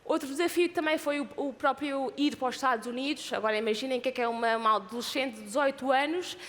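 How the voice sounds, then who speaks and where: A woman in her thirties from Portugal with a European Portuguese accent, giving an earnest motivational talk about her life as an athlete, pausing and restarting often. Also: high voice, big-room sound. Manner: earnest, with frequent hesitations and restarts.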